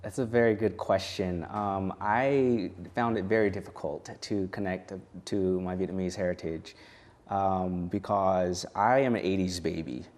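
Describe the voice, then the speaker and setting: A man in his thirties from California, speaking as a poet and educator in a studio interview. He answers in a thoughtful, measured, personal way. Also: low voice, darkish tone. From United States. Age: 30s. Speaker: guest poet and educational consultant. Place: television studio.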